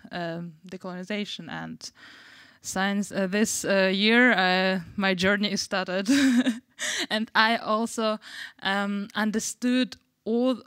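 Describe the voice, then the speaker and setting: Slow, halting woman from Uzbekistan. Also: mid-range voice, fairly bright tone, clear, resonant tone, no echo, studio quality. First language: Russian. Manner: searching for English words, halting. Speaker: artist panelist. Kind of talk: panel talk.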